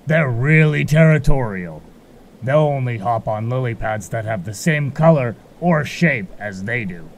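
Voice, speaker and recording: A man's voice: mid-range voice, expressive, unhurried, slight background noise. A man playing a folksy, laid-back but grumbling keeper of a magical marsh in an amateur audio drama. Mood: explaining.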